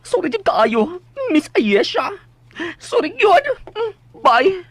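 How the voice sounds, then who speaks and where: A sassy, comically dramatic office worker in a Filipino radio drama. The voice sits extremely high and leaps wildly up and down. Speech comes in theatrical exclamations, with a Filipino accent. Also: female voice, sing-song, takes it slowly, heavily acted. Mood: apologetic, teasing. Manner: exclamatory, theatrical wailing and teasing.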